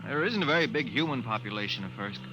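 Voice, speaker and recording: A man's voice: medium pitch, animated, fast, lightly acted. A man acting a deferential, guarded chauffeur in a 1950s radio drama. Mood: informative. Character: deferential local chauffeur, guarded, hinting at trouble. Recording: noisy old 1950 radio recording.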